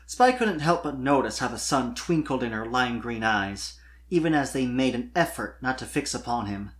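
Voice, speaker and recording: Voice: mid-range voice, fairly bright tone. A narrator reading fan fiction aloud as a dramatic scripted story.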